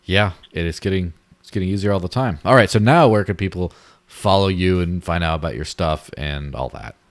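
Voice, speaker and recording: A man's voice: deep voice, a little fast. A man hosting a casual podcast, rambling and joking and laughing at his own jokes. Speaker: podcast host. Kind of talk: casual chat.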